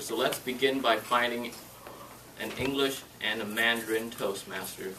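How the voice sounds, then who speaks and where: A man leading a club meeting, thanking volunteers and encouraging members in a friendly way. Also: fairly low pitch. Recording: distant mic with loud noise.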